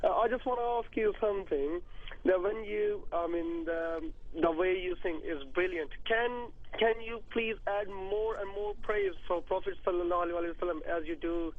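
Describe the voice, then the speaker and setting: A man calling in to a show, speaking halting English with many fillers. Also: high-pitched. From Pakistan. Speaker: caller. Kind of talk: phone-in question. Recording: tinny phone line.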